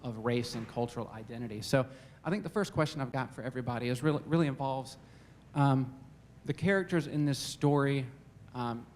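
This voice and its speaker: A man's voice: medium pitch, a little mellow, slight background noise. A man, a college dean, moderating a panel discussion, hesitant, with many "uh"s and repeated words. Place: campus event room.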